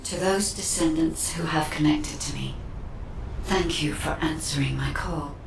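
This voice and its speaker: An actress voicing a calm, earnest artificial guide in a video game, solemn and measured in her appeal. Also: gravelly, over music. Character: calm female guide, ancient AI system, earnestly pleading. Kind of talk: scripted video game acting. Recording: distant, echoing, noisy rumble.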